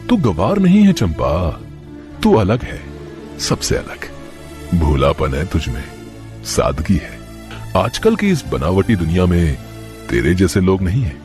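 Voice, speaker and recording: A man playing a smitten, flattering film director from the city in a Hindi cartoon story. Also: low voice, unhurried, long pauses, faint music behind, full character voice. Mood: admiring. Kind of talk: Hindi animated story voice acting.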